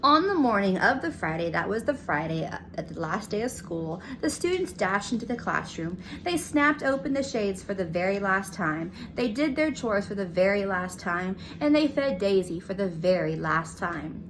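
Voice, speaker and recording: A woman's voice: medium pitch, very animated, moderate pace, hardly any pauses, slight background noise, low-quality recording. A woman reading a children's picture book aloud as a storyteller, narrating the scenes. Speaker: storybook reader.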